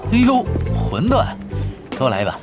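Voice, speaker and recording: A man's voice: mid-range voice, huge pitch swings, moderate pace, over music. A man playing a cheeky, lazy young gamer in an audio drama.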